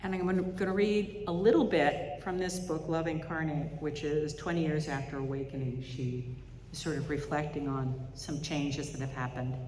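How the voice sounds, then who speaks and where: A woman giving a reflection at a service, reading spiritual writing aloud in a measured, reflective way. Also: low voice, fairly even intonation, darkish tone. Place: large indoor chapel or hall. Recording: echoey hall with reverb, faint background noise.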